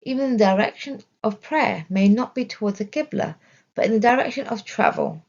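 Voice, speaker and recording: Female narrator for religious study audio. Deliberate scripted delivery, some vocal fry, slightly muffled sound. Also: fairly high pitch, lively intonation, a little mellow. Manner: measured, deliberate reading. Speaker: reader of religious study text.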